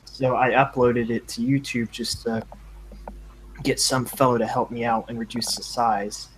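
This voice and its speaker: A man's voice: medium pitch, level tone, unhurried, slight background noise, low-quality recording. A man who is a guest on a voice chat, telling a story in an easygoing way. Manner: easygoing, storytelling, laughs now and then. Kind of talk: casual voice chat.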